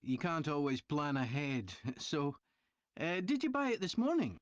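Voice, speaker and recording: An actor playing a polite train company representative asking survey questions in a scripted listening-test dialogue, clearly enunciated. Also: mid-range voice, sing-song, measured pace, no room sound, highs cut off. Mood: polite, inquiring.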